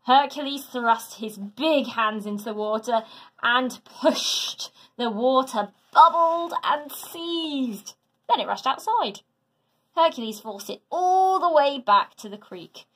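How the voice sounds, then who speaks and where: A woman teacher narrating a children's story aloud in a dramatic, deliberate, expressive way. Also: sits high. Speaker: teacher reading to a class.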